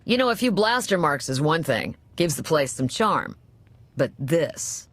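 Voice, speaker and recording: Unfazed female cantina owner for a game: low pitch, wry, nonchalant delivery, no room sound. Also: sing-song, measured pace, fairly bright tone, no echo, exaggerated voice. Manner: sardonic, nonchalant. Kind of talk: video game character acting.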